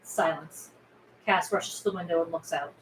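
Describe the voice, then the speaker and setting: A woman's voice: sits low, moderate pace. A woman reading stage directions aloud as scripted narration at a table read. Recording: echoey room, faint background noise.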